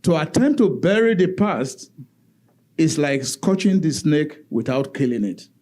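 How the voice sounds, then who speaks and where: A man speaking on an academic conference panel, halting with frequent fillers and restarts. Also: on the high side, big pitch swings, a little mellow. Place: conference hall.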